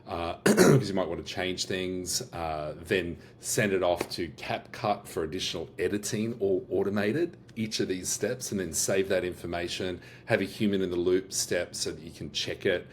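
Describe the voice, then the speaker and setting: Very low-voiced Australian man demoing software. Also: moderate pace, a little hiss or hum. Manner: casual, with frequent restarts and filler words. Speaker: host of AI workshops and business owner. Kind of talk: screen-share product demo.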